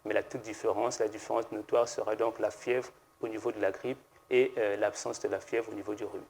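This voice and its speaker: A man, a pharmacist guest on a TV studio set, explaining slowly and hesitantly with many fillers and restarts. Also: fairly low pitch, little pitch movement, a little mellow, a little hiss or hum. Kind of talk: explanatory TV interview answers.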